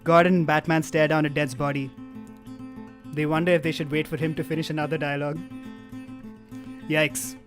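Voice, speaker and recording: A man with a fairly high voice reads out stage directions as the narrator of a table read. He is dramatic but drops into casual asides. Guitar music plays clearly behind him. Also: level tone, moderate pace, pauses often. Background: live guitar music.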